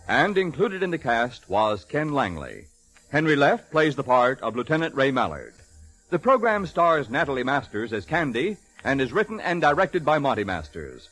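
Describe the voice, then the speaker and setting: A man's voice: mid-range voice, a bit bright, rough recording. A man announcing in formal, polished, scripted 1940s radio style. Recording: vintage 1940s radio recording.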